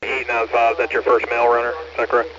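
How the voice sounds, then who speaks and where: A muffled, noisy two-way radio carries an American man acting as net control. His voice is mid-pitched and very even, matter-of-fact and courteous as he confirms details back. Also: fast. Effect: two-way amateur radio transmission. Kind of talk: procedural two-way radio traffic with read-backs.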